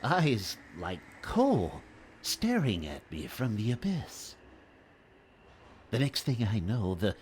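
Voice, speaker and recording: An actor in an audio drama playing a shaken, apologetic train ticket clerk who stammers as if haunted. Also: male voice, lowish voice, sing-song, takes it slowly, long pauses, a little mellow, a little hiss or hum. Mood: haunted, dread.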